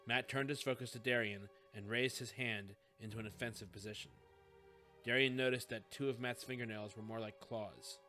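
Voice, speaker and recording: A man's voice: mid-range voice, steady tone, moderate pace, pushed and bright, soft background music. A man reading scripted fiction as an audiobook narrator.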